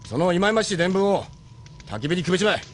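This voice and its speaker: A man playing a gruff, steadfast ship captain and mentor in a Japanese audio drama, speaking bluntly and urgently. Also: high voice, big pitch swings, fast talker, a little hiss or hum. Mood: gruff, irritated.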